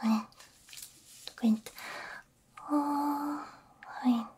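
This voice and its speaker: A woman whispering softly in an ASMR roleplay, gentle and reassuring, speaking close into a binaural microphone. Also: high-pitched, steady tone, extremely slow. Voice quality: breathy, mostly whispered. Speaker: ASMR roleplay creator playing a robot repair technician.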